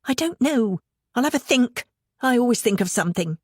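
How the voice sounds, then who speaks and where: A woman's voice: on the high side, animated, moderate pace, no echo, clean studio sound, pitched above the performer's own voice. Woman acting a tense, controlled British wife. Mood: defensive. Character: Victoria, tense scheming middle-class wife and mother.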